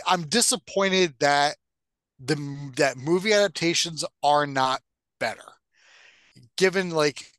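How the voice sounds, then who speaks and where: A middle-aged man co-hosting a podcast, talking casually and haltingly, with some swearing. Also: on the high side, a bit bright, no room sound. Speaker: podcast co-host. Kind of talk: casual discussion.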